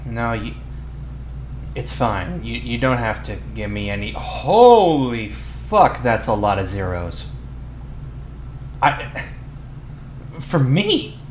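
A man acts out a young male bully who is secretly smitten, in an ASMR boyfriend roleplay. He stammers bashfully at a slow pace, with pitch that swings widely. The room echoes around him. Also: big pitch swings. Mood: shocked, panicked. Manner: halting, stammering, bashful delivery. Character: flustered, tough-talking young male bully with a soft crush. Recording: roomy echo, noisy ambience behind.

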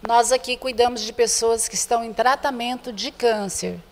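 An older Brazilian woman with a fairly high voice speaks as an interview guest. She is earnest and repeats her points, addressing viewers directly. The handheld mic picks up a noticeably reverberant room. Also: fairly even intonation, moderate pace. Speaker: association president, interview guest. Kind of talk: spoken interview inviting the public to a charity event. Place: indoor room with bare walls. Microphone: handheld reporter's microphone held before her.

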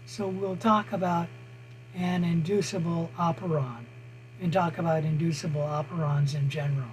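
Hoarse man teaching online, slow and halting with lots of "uh" pauses, fairly high voice, faint hum behind. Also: fairly even intonation, rough recording. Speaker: college biology instructor. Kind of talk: online lecture over screen share. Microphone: computer mic on a video call.

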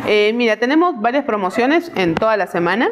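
A woman's voice: a little high, sing-song, brisk, noisy background. A middle-aged woman from northern Argentina, a restaurant manager answering interview questions in a friendly way, often murmuring agreement. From Salta, northern Argentina. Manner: friendly, lots of filler sounds of agreement. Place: restaurant terrace with plant wall. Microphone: lapel. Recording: crowd chatter in background.

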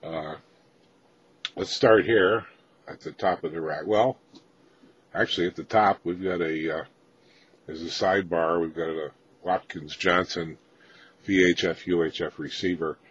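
A man giving an informal, unscripted walkthrough of equipment, pausing often mid-phrase as a hobbyist presenter. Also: deep voice, steady tone, fairly bright tone. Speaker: hobbyist presenter of radio gear. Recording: dull lo-fi sound with faint noise.

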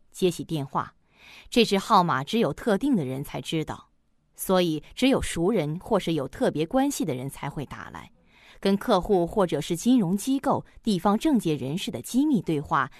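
A woman narrating a suspense audio drama in an expressive storytelling manner. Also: lowish voice, dry recording, clean studio sound.

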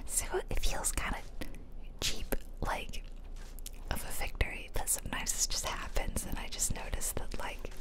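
A woman whispering casual, playful game commentary in a breathy ASMR style, close to the microphone. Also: low-quality recording. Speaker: gaming video host. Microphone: close ear-to-ear ASMR microphone.

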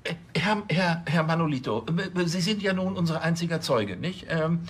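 An actor playing a flustered, self-important senior police officer, stammering and officiously polite in a TV drama. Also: male voice, on the high side, lively intonation, moderate pace, slight background noise, lightly acted. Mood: officious, reassuring.

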